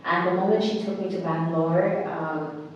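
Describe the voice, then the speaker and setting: A woman's voice: fairly low pitch, dull audio, slight background noise. Earnest woman in an echoey hall. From Bangladesh. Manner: earnest, halting, frequent restarts and fillers. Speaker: interview guest. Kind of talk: personal storytelling in conversation. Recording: echoey hall, mic at a distance.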